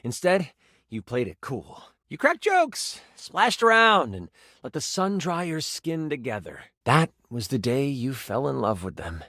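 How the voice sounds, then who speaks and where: Animated, theatrical game master. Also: male voice, mid-range voice, a little slow, no echo, studio quality, exaggerated voice. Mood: wistful. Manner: theatrical, second-person storytelling. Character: animated male dice game master narrator, playful storyteller. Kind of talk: dramatic scripted tabletop fantasy narration.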